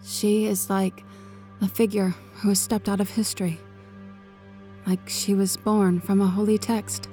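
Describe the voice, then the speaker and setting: An actress playing a devout, confident machine-cult tech priest with a solemn, formal manner in an audio drama. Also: female voice, medium pitch, little pitch movement, a little slow, dark tone, natural voice. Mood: fervent, assured. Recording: faint music behind the voice.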